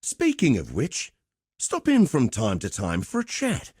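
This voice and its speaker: Swaggering, wildly animated arena promoter. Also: male voice, medium pitch, a little slow, husky, no room sound, clean studio sound, exaggerated voice. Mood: chatty, roguish. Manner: theatrical, chummy, flowery slangy patter. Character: swaggering, cheerful arena fight promoter, roguish showman. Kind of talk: video game character voice lines.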